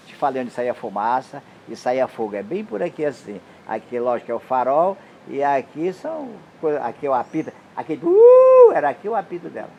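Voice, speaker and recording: A man's voice: a little high, slight background noise. A Brazilian man explaining old photographs of his hometown in a casual, pointing-out way, often repeating "here" and "look". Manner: pointing things out, repeating "aqui" and "olha". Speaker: local resident showing old photos.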